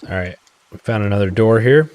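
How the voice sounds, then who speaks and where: A man's voice: lowish voice, fairly quick, a little mellow, slight background noise. A man co-hosting a stream, giving casual running commentary as he plays a video game. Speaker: co-host playing a video game.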